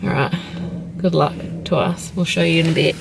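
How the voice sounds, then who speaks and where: A woman's voice: deep voice, fairly even intonation, moderate pace, gravelly, noisy background. A woman vlogger chatting casually in an informal, sweary, giggly way. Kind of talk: casual vlog chat.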